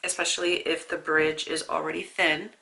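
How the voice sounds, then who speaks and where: Stuffy-nosed young woman doing a chatty makeup tutorial, bright tense voice, lots of fry. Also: low-pitched, flat delivery, moderate pace, poor audio. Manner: chatty, storytelling, asides to the viewer. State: stuffy nose from a sinus infection. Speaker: beauty vlogger. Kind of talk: casual makeup tutorial talk.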